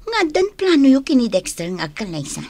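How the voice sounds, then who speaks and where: An actress playing a prying, cautioning young best friend, with an Ilocano accent and admonishing manner in a radio drama. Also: female voice, fairly high pitch, wildly swooping pitch, fairly quick, darkish tone, no room sound.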